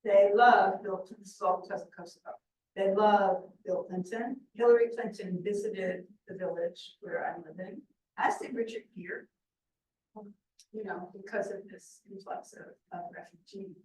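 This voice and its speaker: An older woman answering questions on a video call in a chatty way, laughing often and trailing off softly. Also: medium pitch, fairly even intonation, normal speed. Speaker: presenter. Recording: echoey room from far off, faint noise.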